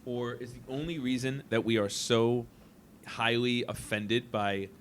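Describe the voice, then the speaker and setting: A man's voice: mid-range voice, fairly even intonation, unhurried, slight background noise. A man hosting a casual podcast, musing aloud with playful, jokey asides while chatting with students. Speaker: podcast host.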